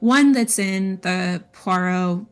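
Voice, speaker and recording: A woman's voice: medium pitch, slow pace, clear, resonant tone. A woman co-hosting a podcast, discussing books in a casual, conversational way. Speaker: podcast co-host.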